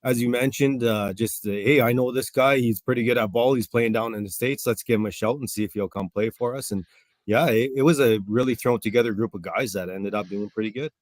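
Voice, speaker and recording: A man in his forties, a coach as interview guest, talking in an upbeat, relaxed way with fillers. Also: mid-range voice, brisk, no echo. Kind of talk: casual interview.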